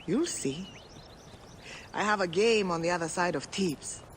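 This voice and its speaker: An actress playing a brash, suspicious gambler and historian in a video game, with a sardonic, salty way of talking. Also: female voice, on the low side, expressive, unhurried, slight background noise. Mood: bitter, sardonic. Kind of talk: dramatic video game acting. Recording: music behind the voice.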